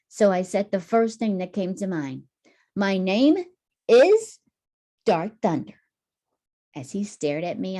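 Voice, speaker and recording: A woman teacher reading a picture book aloud to children in an expressive, deliberate storytelling manner. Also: sits low, gravelly.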